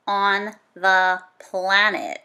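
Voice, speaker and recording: A woman reading a children's nonfiction book aloud in a dramatic, emphatic storytelling manner, with punchy exclamations and drawn-out key words. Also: mid-range voice, flat delivery, pushed and bright, clear, resonant tone. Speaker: storybook read-aloud reader.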